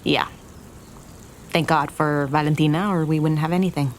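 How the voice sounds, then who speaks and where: An actress playing an earnest, regretful young restaurant owner in an audio drama, confessing in a halting, naturalistic way. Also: female voice, low-pitched, steady tone, a little hiss or hum, lightly acted. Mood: resigned, hopeful.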